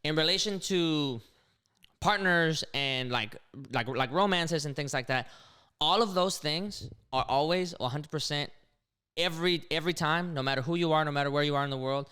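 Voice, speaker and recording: A young man talks loosely on a podcast, circling his point with false starts and casual swearing. His voice is bright and smooth. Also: fairly high pitch, moderate pace. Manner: rambling, many restarts and fillers, casual swearing. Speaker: podcast co-host. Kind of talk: casual chat. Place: Houston, Texas.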